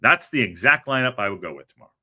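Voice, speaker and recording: A man hosting a football podcast, talking in an animated, rambling way with a lot of restarts. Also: mid-range voice, rapid-fire. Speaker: podcast host. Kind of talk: casual chat.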